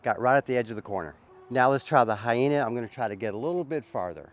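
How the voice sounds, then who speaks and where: A man's voice: mid-range voice, animated, fast. Guy w a sore throat, chatty laid back vlog talk outside, lots of uhs. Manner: easygoing, chatty, frequent uhs. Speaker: disc golf channel host. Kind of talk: casual vlog narration on a course. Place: outdoor disc golf course.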